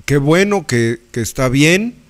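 A man, presenting radio news from a studio mic in a measured, emphatic way. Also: a little high, animated. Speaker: news anchor. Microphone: studio mic on arm.

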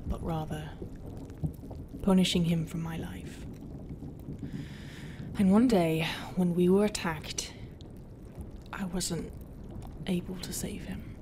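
A woman playing a proud, brooding, non-human pirate captain in a roleplay, guarded and often dropping into a half-whisper with hesitant pauses. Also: lowish voice, noisy background. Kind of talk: roleplay ASMR acting.

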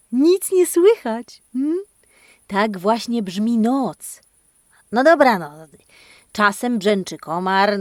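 Female children's podcast host: animated, exclamatory, playful, unhurried delivery, dry recording. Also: medium pitch, dry recording. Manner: animated, exclamatory, addresses children and dog directly. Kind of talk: playful interactive storytelling, chatting to her dog.

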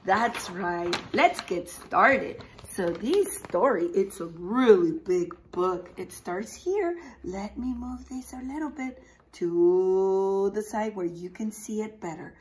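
A woman leads an interactive story time for little children as their teacher. She speaks slowly, with big swoops of pitch and exaggerated delivery. She often puts questions to her listeners and waits for replies. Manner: animated, exaggerated, asking questions and pausing for answers. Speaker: preschool teacher. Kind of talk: interactive read-aloud for young children. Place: small indoor room with picture books behind.

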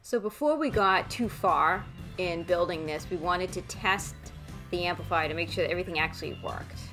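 A woman's voice: fairly low pitch, moderate pace, sharp tone, faint music behind. Curious young woman co-hosting a DIY video. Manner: playful, laughing, curious. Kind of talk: casual chat and asking questions.

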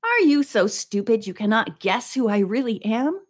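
A woman's voice: a little high, big pitch swings, moderate pace, pitched above the performer's own voice. A woman voicing a mocking, contemptuous male villain who poses as a servant, in a storybook reading. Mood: scornful, boastful. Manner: taunting, contemptuous.

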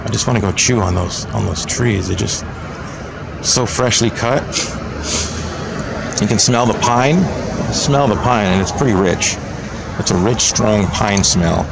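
A man with an American accent talks in a low voice with plenty of gravelly fry. He rambles casually through a running commentary, dropping dry joking asides. It is recorded outdoors on a handheld camera, with clearly audible street and vehicle noise behind him. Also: a little slow, pauses often. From Texas, United States. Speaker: video blogger filming a public-space audit. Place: outdoors on a public sidewalk by a road. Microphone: handheld camera microphone, operator behind camera. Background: passing vehicles and outdoor ambience.

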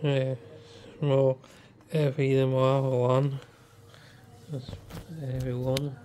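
A man giving casual, halting, muttered hands-on commentary about gadgets. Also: mid-range voice, completely flat delivery, mellow. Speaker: radio hobbyist reviewer. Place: indoors in a house. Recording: clearly noisy handheld home recording.

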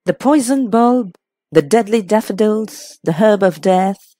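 A woman voicing an urbane older gentleman who teases and coaxes, in a mystery audiobook. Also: mid-range voice, unhurried, no echo, pitched above the performer's own voice, lightly acted. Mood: teasing, coaxing. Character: urbane, encouraging older gentleman, teasing and coaxing. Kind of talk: audiobook character voice in a classic mystery.

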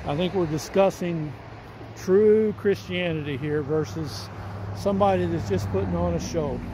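A man's voice: fairly high pitch, noisy background. A man in his sixties giving an earnest devotional reading and prayer, projecting his voice outdoors. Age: 60s. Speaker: lay Bible teacher and reader. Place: outdoors in a church parking lot.

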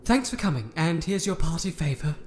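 Man putting on a high, mocking disembodied host. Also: big pitch swings, brisk. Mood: mock courteous. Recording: noticeably noisy home recording.

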